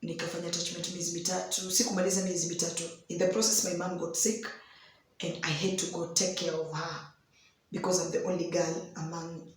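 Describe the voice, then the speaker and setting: Hoarse Kenyan woman in an echoey room. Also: fairly low pitch, little pitch movement, a little hiss or hum. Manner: unhurried, mixes in Swahili phrases. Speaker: host of a daily devotional. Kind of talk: personal storytelling devotional talk.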